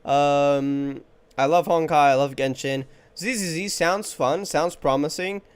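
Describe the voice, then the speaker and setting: A man's voice: on the high side, unhurried, clear, resonant tone. A man doing casual live commentary on a game playthrough, chatty and thinking aloud off the cuff. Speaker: game streamer. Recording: game music behind the voice.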